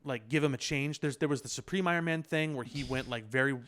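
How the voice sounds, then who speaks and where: A man's voice: mid-range voice, quick pace, fairly bright tone. A man co-hosting a podcast in casual discussion, explaining things in a loose, circling way full of filler words. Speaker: podcast co-host. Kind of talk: casual pop culture discussion.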